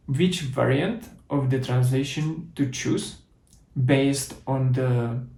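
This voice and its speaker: Gravelly Czech-accented man explaining code. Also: mid-range voice, a little mellow, reverberant. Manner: explanatory, methodical, with restarts. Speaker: conference speaker. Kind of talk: technical coding talk with live demo.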